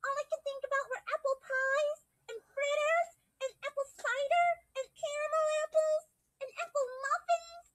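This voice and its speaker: A woman voicing a bubbly, hungry young cartoon pony who talks in an excitable, childlike, dramatic way. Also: very high-pitched, moderate pace, low-quality recording. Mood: excited, gushing. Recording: faint music behind the voice.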